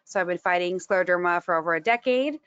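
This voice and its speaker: A woman's voice: lowish voice, fast talker, a bit bright, clean tone, dry recording. Give me a frank woman in her thirties from Washington State on a panel, with dull lo-fi call audio. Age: 30s. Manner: frank, laughs easily, trails off with fillers. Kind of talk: candid panel talk.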